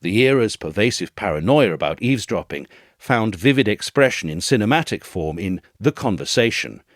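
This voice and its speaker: A man reading a scripted essay as narrator, in a measured, formal way with dramatic phrasing. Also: on the low side, no echo, studio quality.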